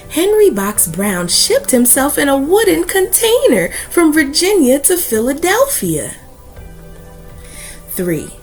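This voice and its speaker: A woman reading a children's book aloud as host, with deliberate, emphatic phrasing and an expressive storytelling delivery. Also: high voice.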